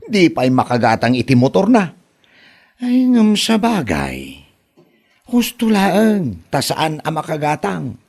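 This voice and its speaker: Guy playing a mocking show-off young dude, comedy drama, creaky voice that jumps all over. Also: fairly high pitch, wildly swooping pitch, slow pace, darkish tone, exaggerated voice. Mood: scoffing. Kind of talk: Ilocano comedy radio drama acting.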